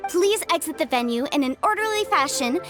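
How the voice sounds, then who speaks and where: A woman's voice: high-pitched, expressive, brisk, music in the background. A woman playing a young, upbeat contest officiator in a video game, speaking in formal, ceremonious announcements.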